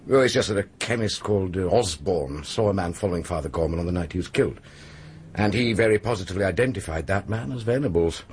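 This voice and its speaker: An actor with a British accent playing a gruff, blunt, sceptical police pathologist in a radio drama, husky and brusque. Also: male voice, deep voice, animated, quick pace, slight background noise. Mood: matter-of-fact. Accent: British English. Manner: brusque, dismissive, dry.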